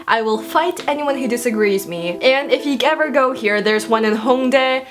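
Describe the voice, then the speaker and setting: A teenage girl answering viewer questions in a casual vlog, bubbly and self-mocking, with quick asides. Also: a little high. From South Korea. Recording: music playing under the voice. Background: background music.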